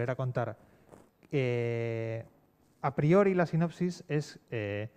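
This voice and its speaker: A man's voice: medium pitch, mellow. A man with an accent from Spain, a scriptwriter talking discursively in a panel discussion, repeating words for emphasis. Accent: Spanish from Spain. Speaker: fiction scriptwriter and guest panelist.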